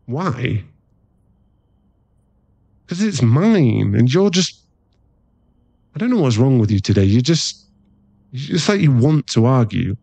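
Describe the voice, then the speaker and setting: A man playing a playful, teasing boyfriend in an intimate ASMR roleplay, talking haltingly and acting mock-offended and cajoling. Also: medium pitch, dark tone, no echo. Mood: exasperated, playful. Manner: teasing, halting, intimate one-sided chat.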